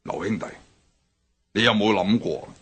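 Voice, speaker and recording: An actor playing a stern older triad boss in a radio drama, speaking with a Hong Kong Cantonese accent and a raspy, commanding manner. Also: male voice, on the low side, a little slow, fairly bright tone. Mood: grave. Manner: commanding, barks orders. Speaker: gang leader.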